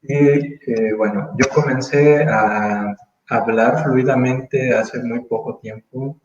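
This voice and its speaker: A man in his thirties from Mexico, speaking as an interview guest in casual conversation, thoughtful and full of pauses and restarts. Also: mid-range voice, steady tone, a little mellow, echoey room, muffled recording. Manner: thoughtful, hesitant, many fillers and restarts.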